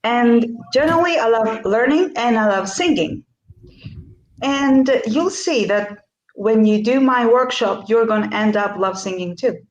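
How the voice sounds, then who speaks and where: A woman's voice: fairly high pitch, unhurried, echoey room, highs cut off. A woman from Greece, a workshop speaker answering questions on a video call, with frequent fillers and restarts. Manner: hesitant, many fillers and restarts. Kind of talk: online interview.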